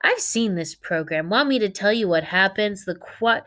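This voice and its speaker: A woman's voice: medium pitch, animated, normal speed, fairly bright tone, lightly acted. A woman voicing a blunt, talkative female family dog in a children's storybook. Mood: rambling, excited. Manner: outspoken, cheeky, talkative.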